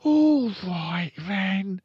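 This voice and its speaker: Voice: mid-range voice, sing-song, drawn-out words, breathy edge, no echo, dull audio, voice pushed up, full character voice. A narrator voicing a stubborn, boastful six-year-old boy in a storybook. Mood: firm.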